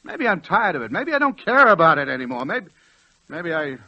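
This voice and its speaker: A man's voice: on the high side, sing-song, a little fast. An actor playing a meek, dreamy druggist in his late forties, hesitant and stammering, in 1940s radio style. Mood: wistful, frustrated. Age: late 40s. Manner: hesitant, stammering, turning impassioned. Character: meek, dreamy middle-aged druggist obsessed with trains. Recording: dull old 1940s radio recording.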